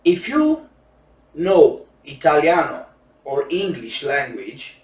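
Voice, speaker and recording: A man with a Romanian accent, a native Romanian speaker using broken English, giving a slow, emphatic sales pitch for roulette courses. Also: sing-song, darkish tone. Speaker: roulette instructor selling courses. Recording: echoey room, distant mic.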